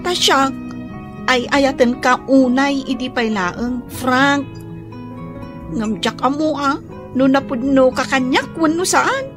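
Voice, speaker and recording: A woman's voice: sits high, slow, music in the background. A woman playing an earnest young housemaid in love and full of doubt, with an Ilocano accent, in a radio drama.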